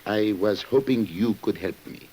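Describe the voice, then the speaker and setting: Grave low-voiced police commissioner, husky. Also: male voice, warm tone. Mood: grave, probing. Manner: formal, deliberate, dramatic delivery. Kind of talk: 1950s radio drama acting. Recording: muffled-edged vintage radio drama recording.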